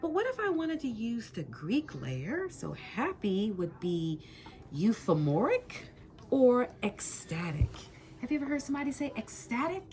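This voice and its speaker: A woman's voice: fairly high pitch, wildly swooping pitch, measured pace, darkish tone. Woman, teacher, very varied intonation, encouraging, emphatic key words, questioning a student, faint background noise. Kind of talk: spoken lesson, questioning a student.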